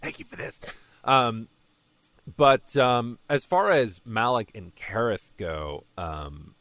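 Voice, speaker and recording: A man answering as a guest voice actor in a casual interview, halting and often correcting himself. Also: mid-range voice, lively intonation, a bit bright, no room sound.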